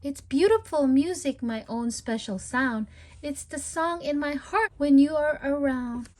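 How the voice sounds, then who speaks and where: A woman's voice: high-pitched, measured pace, slight background noise. A woman hosting a children's storytime, reading a picture book aloud in a sing-song, child-directed way. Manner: sing-song, animated talk addressed to young children. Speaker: storytime read-aloud host. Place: at home.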